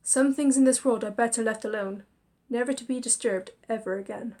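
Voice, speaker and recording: Narrator: woman, fairly high voice, expressive and chatty for a spooky story. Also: a little fast. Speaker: reader and narrator. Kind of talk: narrating a visual novel with inner monologue.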